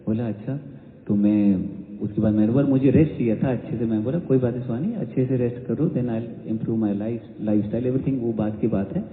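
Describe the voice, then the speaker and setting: A man's voice: mid-range voice, moderate pace. A man, a singer, shares a personal testimony at a devotional gathering, telling his story and mixing Hindi with English as he goes. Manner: storytelling, switching often between Hindi and English. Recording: echoey hall, tinny phone-like sound.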